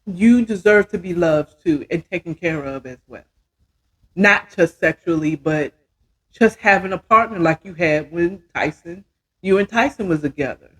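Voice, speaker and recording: A woman's voice: on the low side, normal speed, a bit bright. A woman in her fifties telling a story casually as a podcast host, chatty and emphatic, often checking in with the listener. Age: 50s.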